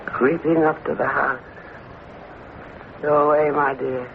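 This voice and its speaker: A woman's voice: a bass voice, fairly even intonation, takes it slowly, heavily acted. Fearful, commanding old woman, tinny radio. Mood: fearful, urgent. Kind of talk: 1940s film acting. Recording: tinny, noisy old radio broadcast of film sound.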